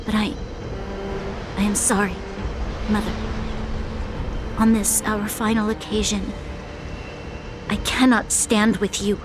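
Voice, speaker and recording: A woman plays a young noblewoman from a video game, dignified and earnest. She speaks slowly and formally in a medium-pitched voice, music playing clearly behind her. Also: level tone, lightly acted. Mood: sorrowful, resolute. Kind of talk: dramatic video game voice acting.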